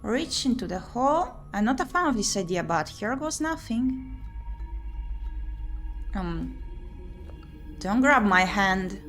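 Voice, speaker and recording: Woman doing a jittery sarcastic young girl exploring in a creepy visual novel, talks to herself, medium pitch, music under it. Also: very animated, slow pace, long pauses, fairly bright tone, heavily acted. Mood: nervous. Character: uneasy, sardonic young female explorer in an eerie game. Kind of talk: visual novel character voicing. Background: game music.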